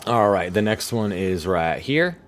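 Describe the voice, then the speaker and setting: A man with a fairly low voice talks through his own gameplay. He is casual and laid-back, with some swearing, and there is faint noise behind him. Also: animated, a little slow. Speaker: gamer narrating his own gameplay video.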